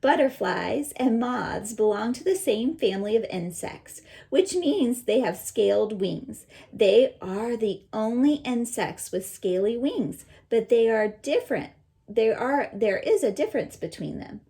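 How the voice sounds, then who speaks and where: A woman teacher reading a children's picture book aloud and pausing to explain it in simple words. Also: a little high, expressive, vocal fry, dark tone.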